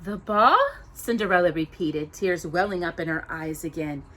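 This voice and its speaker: A woman hosting storytime, reading a children's story aloud with expressive, dramatic emphasis on descriptive words. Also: low-pitched, normal speed.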